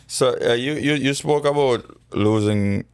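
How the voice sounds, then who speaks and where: A man's voice: medium pitch, unhurried, smooth, no room sound. A man with a Jamaican accent hosting a podcast conversation, speaking reflectively and backing his guest with short affirmations. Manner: encouraging, with frequent short affirmations. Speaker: podcast host. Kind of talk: conversational interview.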